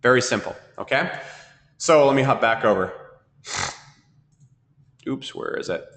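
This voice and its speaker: A man's voice: medium pitch, lively intonation, fairly bright tone. A man teaching a marketing webinar, sniffling from a cold and checking in with "okay?" again and again. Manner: fast, repetitive, keeps asking "okay?". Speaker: marketing trainer.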